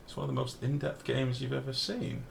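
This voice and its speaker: A man with a deep, booming voice giving casual, sweary live game commentary as a streamer and chatting with viewers. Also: level tone, brisk. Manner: informal, sweary, reads and answers chat. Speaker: game livestreamer. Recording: clear background noise.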